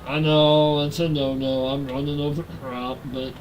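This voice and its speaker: A nasal-voiced man in his forties speaks slowly and easily into a headset, reading out and answering his viewers as he plays. His voice sits a little high for a man, and a faint hiss runs underneath. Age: 40s. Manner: relaxed, talks to chat while playing. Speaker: live-stream gamer. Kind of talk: casual live-stream chat while gaming.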